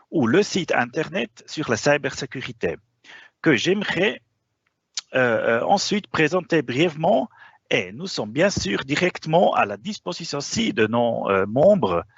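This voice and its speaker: A man's voice: medium pitch, husky, no echo, dull audio. Hesitant non-native man presenting a webinar. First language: not French. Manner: hesitant, frequent "euh" fillers.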